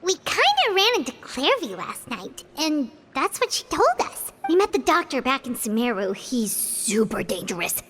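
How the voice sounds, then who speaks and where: A woman voicing a small, childlike fairy companion in a video game, earnest and expressive. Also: sits high, moderate pace, few pauses, a little hiss or hum, full character voice. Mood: earnest, uneasy. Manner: speaks of herself in third person, earnest. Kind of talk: cartoonish video game voice acting.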